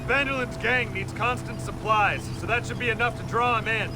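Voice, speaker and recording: A man's voice: very high-pitched, steady tone, normal speed, very noisy. A man playing a boastful government agent, proud in his speeches and panicky under fire. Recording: game battle with loud gunfire.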